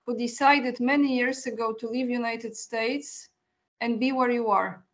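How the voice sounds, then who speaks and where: A woman hosting an interview over a video call, speaking earnestly with soft hesitations. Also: a little high, fairly even intonation, measured pace. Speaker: host.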